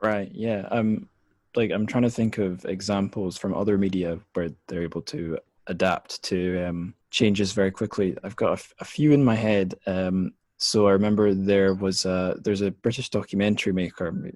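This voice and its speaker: A man's voice: low voice, fairly even intonation, moderate pace, a little mellow, dry recording, studio quality. A man hosting a casual book discussion podcast, thinking aloud in a hesitant, rambling way. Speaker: podcast host.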